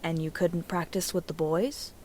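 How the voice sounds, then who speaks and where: A woman voicing a calm male ninja teacher in a story reading, light in tone with a serious, probing edge. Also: on the low side, moderate pace, noisy background, voice pushed down, lightly acted. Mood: serious questioning.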